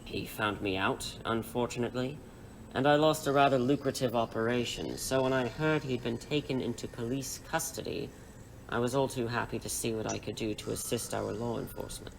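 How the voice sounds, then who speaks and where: A man playing a menacing crime boss in an audio drama, with a mockingly polite, formal, unhurried manner. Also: medium pitch, creaky, slight background noise, close to an everyday voice, low-quality recording. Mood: smug, calm. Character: suave, menacing male crime boss interrogating captives.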